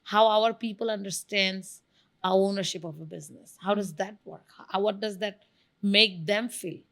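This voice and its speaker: Woman on a podcast, keen and emphatic, mid pitch. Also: normal speed, creaky, a bit bright. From Bangladesh. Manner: emphatic and enthusiastic, lists examples. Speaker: guest, venture studio founder. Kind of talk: conversational interview answers.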